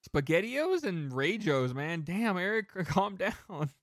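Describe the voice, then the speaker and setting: A man co-hosting a casual podcast, telling rambling, animated anecdotes with some casual swearing. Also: high voice, slow, no echo, clean studio sound. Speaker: podcast co-host.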